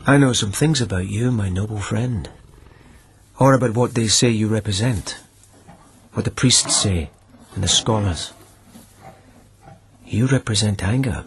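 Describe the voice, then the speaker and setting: Measured, wry male police lieutenant. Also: lowish voice, a little hiss or hum. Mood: wry musing. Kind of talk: radio drama acting.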